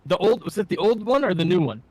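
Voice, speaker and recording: A man with a slightly high voice co-hosts a podcast. He chats casually, chiming in with short, easygoing remarks. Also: animated, quick pace, darkish tone, breathy edge. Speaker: podcast co-host. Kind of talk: casual chat.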